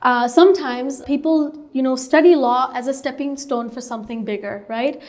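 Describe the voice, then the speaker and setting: A woman's voice: high-pitched, moderate pace, darkish tone. A young woman from Hong Kong, a startup co-founder, telling her story earnestly in an interview with many "like"s and "you know"s. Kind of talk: interview answers.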